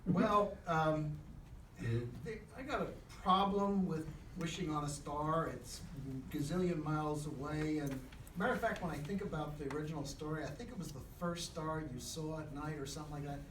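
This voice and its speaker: Male Sunday school teacher, comic straight man, animated but halting, heard from a distance with room echo and faint noise. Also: fairly high pitch. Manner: hesitant, halting, questioning. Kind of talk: live comic dialogue with a puppet. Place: echoey classroom, in front of a class.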